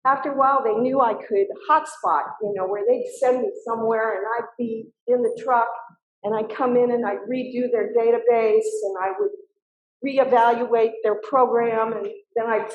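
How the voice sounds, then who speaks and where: A woman's voice: a little high, steady tone, normal speed, reverberant, lo-fi sound. An older woman with a small, girly voice, a retired scientist candidly recalling her career with many fillers. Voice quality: little girly voice. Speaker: guest speaker, retired soil scientist. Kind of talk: personal career story in a talk.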